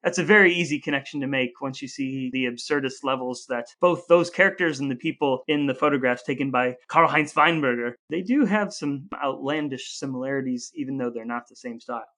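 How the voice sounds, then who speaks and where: A man's voice: mid-range voice, a little fast, few pauses, sharp tone. An American man co-hosting a conversational podcast, explaining things articulately in full sentences. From United States. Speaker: podcast co-host.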